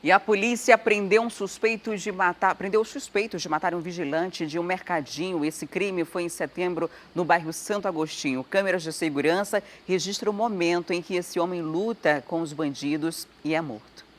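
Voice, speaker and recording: A woman hosting a live morning TV show, thanking a guest and moving briskly into news items. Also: on the low side. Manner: brisk presenter delivery. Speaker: TV news anchor. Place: TV studio.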